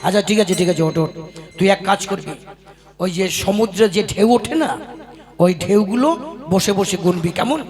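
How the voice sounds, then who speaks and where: Male actor as a high, rough-voiced cartoon king, exasperated and bossy. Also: moderate pace, music in the background. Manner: exasperated, lordly scolding. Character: grumpy, puzzled male puppet king. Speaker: king in a puppet show. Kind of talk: comic puppet show acting.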